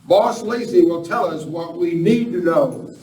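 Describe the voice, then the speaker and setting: Man acting a slow, high-voiced seasoned cowboy outlaw. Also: dark tone. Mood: knowing, cautioning. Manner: slow, folksy, admonishing. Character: seasoned male outlaw hand, gruffly advising the kid. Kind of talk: Western radio play acting. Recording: echoey hall, distant mic, faint noise.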